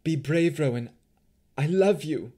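A man's voice: a little high, expressive, a little slow, dark tone, husky, pitched above the performer's own voice. A man voicing a protective sorceress mother in a fantasy story, urgent and commanding, in a raised, strained voice. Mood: tender, urgent. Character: desperate protective sorceress mother urging her small daughter.

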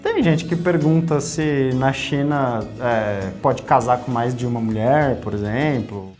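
A man in his thirties with a São Paulo Brazilian accent, talking casually in an interview with lively gestures and frequent tag questions. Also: mid-range voice, a little slow, soft background music. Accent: Brazilian Portuguese, São Paulo. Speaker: YouTube creator. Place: small office studio.

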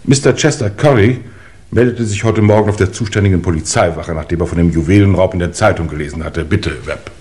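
An actor in a German crime radio play, playing a methodical chief inspector with a formal, measured manner. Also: male voice, deep voice, darkish tone, close to an everyday voice. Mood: businesslike.

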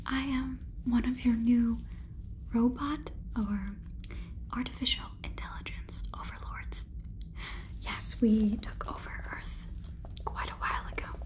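A woman in her twenties doing a whispered ASMR role-play as a polite, curious AI robot overlord, speaking softly and haltingly close to the microphone. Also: a little high, fairly even intonation. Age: 20s. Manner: soft, halting, deliberate whisper. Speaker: ASMR performer. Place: small room indoors, close up. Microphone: close sensitive microphone.

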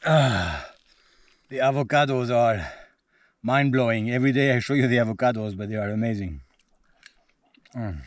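A man's voice: mid-range voice, normal speed, long pauses, no echo. A man in his forties from France, speaking with a French accent, chatting hesitantly with many fillers while filming himself outdoors on a camera held at arm's length. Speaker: cycling travel vlogger. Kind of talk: casual self-filmed travel vlog. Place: outdoors on a roadside in dry bush.

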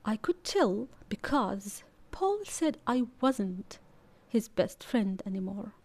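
A woman narrating a children's storybook aloud in an expressive, deliberate, emphatic storytelling manner. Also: medium pitch. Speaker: audiobook narrator.

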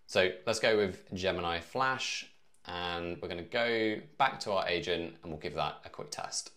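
Very deep-voiced man, casual tutorial. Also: moderate pace, a bit bright. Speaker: tutorial presenter. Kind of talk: casual step-by-step software tutorial. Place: small home office, indoors.

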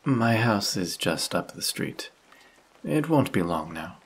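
A man playing a kindly, courteous vampire in an intimate audio roleplay, speaking softly and solicitously to the listener with a gentle teasing touch. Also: lowish voice, big pitch swings, takes it slowly, breathy edge, full character voice. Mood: warm, coaxing. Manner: soft-spoken, solicitous, politely old-fashioned. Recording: close voice with rain sound effects. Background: rain sound effects.

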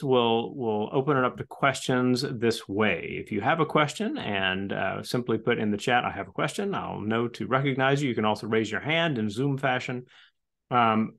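A man's voice: mid-range voice, very animated, moderate pace, vocal fry, fairly bright tone. Upbeat man hosting a video call. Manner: friendly, repeats words, upbeat. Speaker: club meeting host. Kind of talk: hosting an online club meeting.

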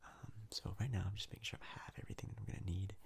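A man giving soft-spoken whispered ASMR game commentary in a breathy, husky voice, rambling hesitantly and repeating words. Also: sits low, fairly even intonation, racing. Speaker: gaming video host.

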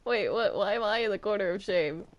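A woman acts out a male cartoon ink demon in a game roleplay. He is flustered and defensive, stammering and repeating his protests at a middling pitch for a female voice. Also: a little fast, close to an everyday voice. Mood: indignant. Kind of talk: improvised Minecraft roleplay.

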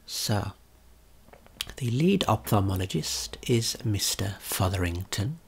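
A man giving a gentle, unhurried ASMR tour of a video game in a breathy, whispered, soft-spoken voice. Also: lowish voice. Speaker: ASMR creator and tour guide.

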